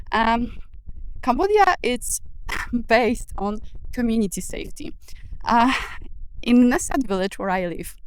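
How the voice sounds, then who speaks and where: A woman's voice: on the high side, smooth, low-quality recording. A woman vlogger talking frankly to camera, earnest with small laughs and filler words. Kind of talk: casual to-camera talk. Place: outdoors on a walk in the later part.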